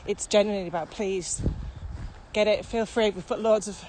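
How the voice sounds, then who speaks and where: A woman's voice: mid-range voice, moderate pace. A woman co-hosting a podcast in casual, conversational chat, thinking aloud as she talks. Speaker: podcast co-host. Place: walking outdoors across open fields. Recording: outdoor recording with steady ambient noise. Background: outdoor ambience.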